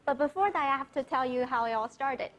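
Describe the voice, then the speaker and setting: A young adult woman from Taiwan giving a prepared talk on stage, speaking earnestly and steadily with pauses and fillers. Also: high-pitched, fairly bright tone, poor audio. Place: stage at an event.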